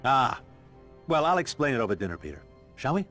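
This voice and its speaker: A man's voice: medium pitch, big pitch swings, fairly quick, fairly bright tone, faint music behind. A man voicing a genial, enthusiastic middle-aged scientist and mentor in a video game, speaking in an avuncular way. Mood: hospitable.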